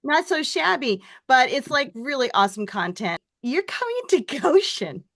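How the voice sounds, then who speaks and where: Peppy woman host, kinda high voice, gushing and excited the whole time. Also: normal speed. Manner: bubbly and enthusiastic, lots of exclamations. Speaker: interview host. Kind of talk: upbeat promotional interview.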